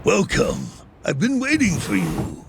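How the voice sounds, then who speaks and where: A man's voice: fairly high pitch, wildly swooping pitch, measured pace, gravelly, background noise, full character voice. An actor playing a solemn, courteous crime syndicate chairman in a video game. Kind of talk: video game cutscene dialogue. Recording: music behind the voice.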